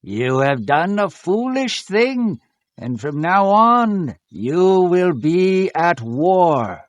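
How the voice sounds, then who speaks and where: A stern male prophet delivers a solemn rebuke in a dramatized scripture recording. The voice sits fairly high and moves very slowly, declaiming each phrase in a dry studio sound. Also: sing-song, no echo, dull audio, exaggerated voice. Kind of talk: dramatized audio Bible acting.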